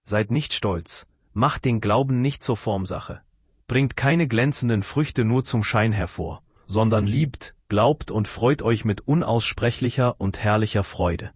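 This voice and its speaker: A man preaching a sermon to his congregation, asking searching questions in an earnest, measured way. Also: lowish voice, husky, no echo.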